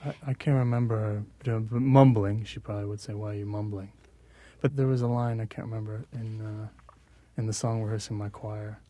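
A man being interviewed on the radio, talking casually with frequent halting restarts. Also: lowish voice, fairly even intonation, dark tone, no room sound. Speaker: musician guest.

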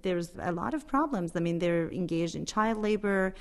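Low-pitched woman reporter explaining in an interview. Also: lively intonation, moderate pace. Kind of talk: spoken radio interview, explanatory.

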